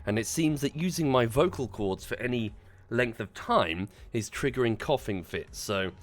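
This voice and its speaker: A man streaming, hoarse and congested after a chest infection, greeting chat politely and pausing to cough. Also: mid-range voice, expressive. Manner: polite, chatty, pauses to cough. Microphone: desk microphone.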